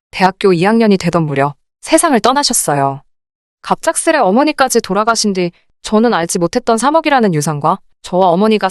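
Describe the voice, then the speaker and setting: Female story narrator, polite and confiding, lively intonation, crisp clean voice, dry close sound. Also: medium pitch, no echo. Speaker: narrator of a radio-drama story channel. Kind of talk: scripted first-person story narration.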